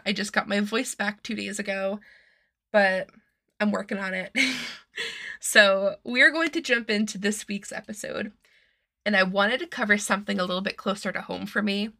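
A woman's voice: mid-range voice, steady tone, moderate pace, creaky, sharp tone. Chatty, slightly nasal woman podcaster. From Massachusetts. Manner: chatty, swears freely, laughs often. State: slightly nasal and strained from illness. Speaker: podcast host. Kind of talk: casual true-crime podcast chat.

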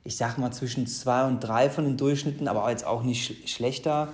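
A man's voice: medium pitch, steady tone, moderate pace. A man in his thirties from the Ruhr area, telling his own life story in a loose, chatty way with many fillers and restarts. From Ruhr area, Germany. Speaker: interview guest. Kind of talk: casual personal storytelling. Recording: echoey room.

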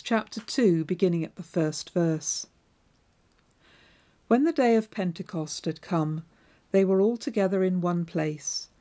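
Measured woman preaching, low voice. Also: dark tone. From Devon, England. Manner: measured, clear liturgical delivery. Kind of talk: scripture reading and sermon.

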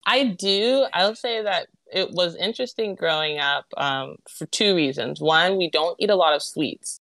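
A young woman from Southern California, a guest on a podcast, talking in a giggly, animated way. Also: lowish voice, a little slow, no echo. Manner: giggly and animated, with many fillers. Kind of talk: casual chat.

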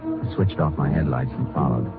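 A man acting as a steady, methodical Texas Ranger who investigates and narrates in a clipped, matter-of-fact way in a 1950s radio crime drama. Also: rumbling low, moderate pace, loud music behind the voice. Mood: measured narration. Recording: muffled, noisy old radio broadcast recording.

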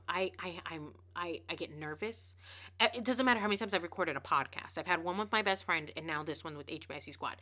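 Fast, emphatic woman with bright tone. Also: fairly low pitch. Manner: fast, repeats words, restarts phrases; emphatic, preachy. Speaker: podcast host. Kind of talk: casual motivational podcast monologue.

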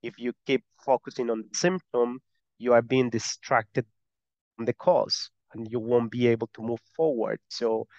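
A man's voice: medium pitch, fairly even intonation, moderate pace, darkish tone, dry recording, dull audio. A man with a strong Spanish accent and Spanish as his first language, explaining earnestly as an interview guest, often repeating words as he goes. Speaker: guest, breathwork and somatic practitioner.

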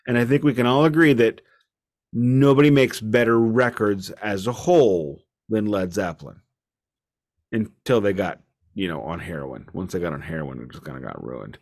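Opinionated man chatting about records. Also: fairly low pitch. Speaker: music podcast co-host. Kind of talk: casual album review chat.

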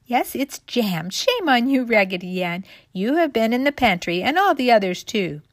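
A woman's voice: mid-range voice, sing-song, normal speed, no echo, studio quality, close to an everyday voice. A woman voicing a puzzled, scolding mistress of some dolls in a storybook, chiding and exclaiming.

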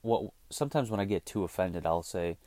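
Deep-voiced man in his thirties ranting. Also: animated, fairly quick, dark tone, dry recording. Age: 30s. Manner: blunt ranting, swears freely. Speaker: podcast host. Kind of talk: casual monologue.

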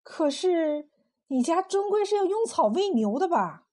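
A woman's voice: a little high, expressive, a little slow, darkish tone, husky. A woman storyteller voicing an older, well-meaning village farmer, hesitant and placating. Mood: defensive, resigned.

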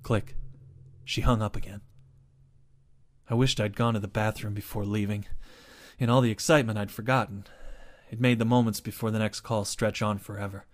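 A man's voice: on the low side, fairly even intonation, moderate pace. A man reading his own first-person short story as dramatic scripted narration.

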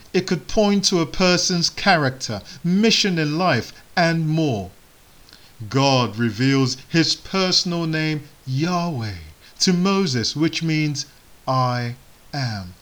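Slow, measured male preacher, Igbo native. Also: on the high side, fairly bright tone. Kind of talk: sermon.

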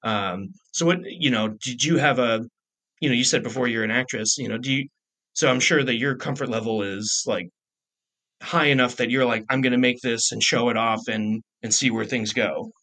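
A man in his thirties hosts a casual interview podcast. His voice is bright and sits at a medium pitch. He feels his way through sentences, often restarting them. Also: normal speed, low-quality recording. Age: 30s. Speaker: podcast host.

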